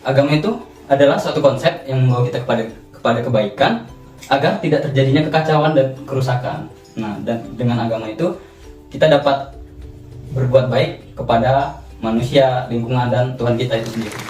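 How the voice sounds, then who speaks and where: A man's voice: mid-range voice, a little fast, a little mellow. 20s student guy talking casual and slangy, echoey room, music under it. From Indonesia. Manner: informal slangy explaining with restarts. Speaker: student interviewee. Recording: roomy distant mic with music.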